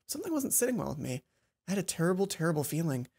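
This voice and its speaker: A woman reading a visual novel aloud as a let's play host, expressive and playful, acting out the dialogue. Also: fairly low pitch, very fast, husky. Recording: music behind the voice. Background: game music.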